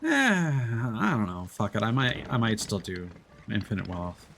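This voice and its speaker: A man's voice: fairly low pitch, very animated, unhurried, creaky, a bit bright, slight background noise. A man casually commenting on his gameplay as a streamer, chatting through tips with many fillers.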